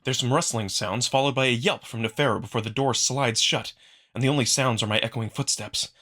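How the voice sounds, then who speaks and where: Male narrator, sharp bright tone, mid pitch, expressive first-person storytelling for a visual novel. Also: fairly quick. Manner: expressive, acting out the narrator's feelings. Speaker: narrator, amateur voice performer.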